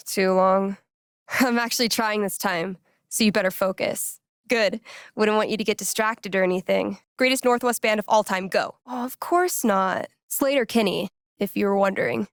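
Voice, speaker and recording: Young female game character, played by an actress: wry, playful friend and DJ type, casual delivery, mid-pitched with some fry, close dry recording. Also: moderate pace, no echo, natural voice. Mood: playful, teasing. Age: young adult. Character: wry young woman, teasing, loyal friend and radio DJ. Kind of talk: naturalistic video game dialogue acting.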